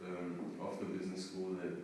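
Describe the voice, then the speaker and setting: Flat, low-voiced young man, far off. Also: moderate pace. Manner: friendly, hesitant questions with many ums. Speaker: student interviewer. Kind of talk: live stream interview. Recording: roomy echo, distant mic, noisy background.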